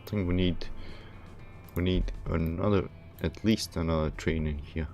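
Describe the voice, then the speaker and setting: A man from Romania hosting a casual gaming livestream, thinking aloud in a halting, hesitant way. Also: deep voice, fairly even intonation, darkish tone, soft background music. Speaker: livestream host playing a game.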